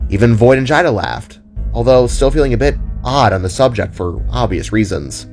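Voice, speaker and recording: A man's voice: mid-range voice, expressive, moderate pace, a little mellow, soft background music. A man narrating a scripted audio drama in the third person.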